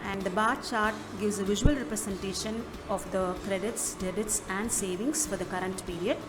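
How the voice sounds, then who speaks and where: A woman's voice: medium pitch, steady tone, moderate pace. Woman narrating, music behind. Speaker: software demo presenter. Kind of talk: step-by-step app walkthrough narration. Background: clearly audible music.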